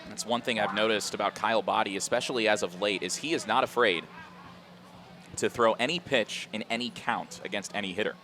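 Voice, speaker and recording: A man's voice: fairly low pitch, brisk, sharp tone, a little hiss or hum. A man doing live baseball play-by-play for a radio broadcast from an outdoor ballpark. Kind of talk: live sports commentary.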